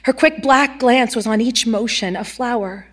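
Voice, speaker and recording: A woman's voice: a little high, moderate pace. A woman actor reading a literary short story aloud as a narrator.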